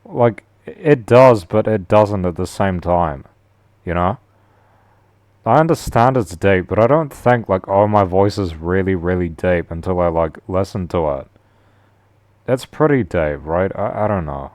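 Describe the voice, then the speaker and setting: A man with a deep, low-pitched voice chats casually on a live stream. He rambles in slang and throws in "bro" all the time. The sound is dry and close, with no room echo. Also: expressive, a little slow, a little mellow.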